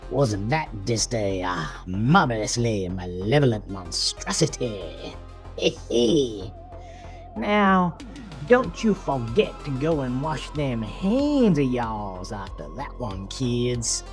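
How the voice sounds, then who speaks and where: A man's voice: mid-range voice, very animated, slow. A gleefully creepy horror host with a folksy Southern US drawl. He takes his time, swinging theatrically up and down with teasing menace, like the presenter of a slasher audio drama, over prominent music. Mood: gleefully sinister. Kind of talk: horror anthology host outro.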